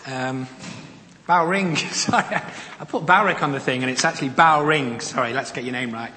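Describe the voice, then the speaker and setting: Informal church leader, bright tone, roomy church sound with faint hum. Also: male voice, mid-range voice, moderate pace. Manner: apologetic, informal. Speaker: church leader introducing the speaker. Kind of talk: church welcome. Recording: echoey hall, faint ambience.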